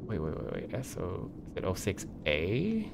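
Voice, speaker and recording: A man hosting a livestream, explaining things casually and off the cuff in a halting, thinking-aloud way. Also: low-pitched, wildly swooping pitch, vocal fry, very noisy. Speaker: livestream host. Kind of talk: casual live explainer, unscripted.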